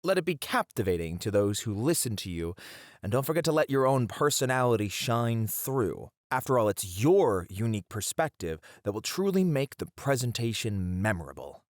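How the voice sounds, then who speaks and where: Theatrical, flirtatious male teacher played by an actor for ASMR roleplay; very animated delivery, close dry sound. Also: mid-range voice, moderate pace, no room sound, close to an everyday voice. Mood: warm, encouraging. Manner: expressive, playful, dramatic phrasing.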